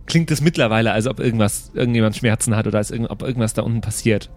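A man's voice: fairly low pitch, very fast, darkish tone, faint music behind. A man playing a tabletop role-playing game, improvising in character and debating in a hesitant, argumentative way.

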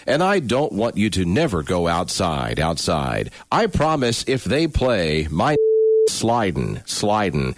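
A man, a radio news director, giving a deliberate, deadpan spoken recital of pop lyrics like poetry. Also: low-pitched, no room sound. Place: radio studio.

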